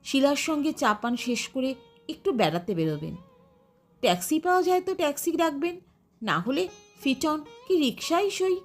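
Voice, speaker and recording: High-pitched, expressive woman narrator. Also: normal speed, soft background music. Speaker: audiobook narrator. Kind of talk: expressive literary story narration.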